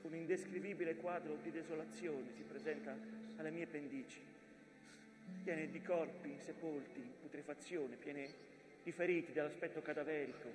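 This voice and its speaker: An actor playing an ancient, weary mountain that narrates the devastation of war in a solemn, declamatory theatrical monologue. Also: male voice, a little high, roomy sound, long reverb tail, lightly acted.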